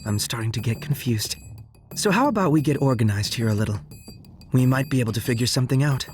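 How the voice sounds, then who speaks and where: An actor plays a young anime game ninja with a medium-pitched voice. The delivery is calm, methodical and explanatory, set over clearly audible music. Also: quick pace, lightly acted. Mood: thoughtful. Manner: orderly, explanatory. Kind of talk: English anime game dub acting.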